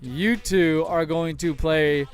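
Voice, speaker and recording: Male American streamer, higher-pitched, crisp voice, enthusiastic and rambling, casual host chat. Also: slow. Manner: enthusiastic, rambling, mild swearing. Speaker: livestream host. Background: faint music.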